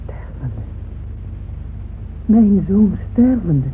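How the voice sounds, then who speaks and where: A woman's voice: mid-range voice, sing-song. An actress playing an anguished, remorseful mother in a radio play, confessing to a former lover with solemn, intense emotion. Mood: shocked, desperate. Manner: solemn, slow, intensely emotional delivery with whispers. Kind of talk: dramatic radio play monologue. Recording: tinny, noisy old radio drama recording.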